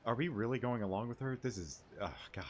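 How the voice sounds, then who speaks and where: A man's voice: medium pitch, fast talker, very noisy. A man giving casual, informal playthrough commentary as a game streamer. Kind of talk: casual playthrough commentary, reading dialogue aloud.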